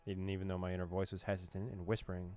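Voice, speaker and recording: A man with a low voice reads a game's narration aloud for his viewers. He slips in joking asides between passages. Faint game music plays behind him. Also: monotone, racing, a little mellow. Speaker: gaming streamer.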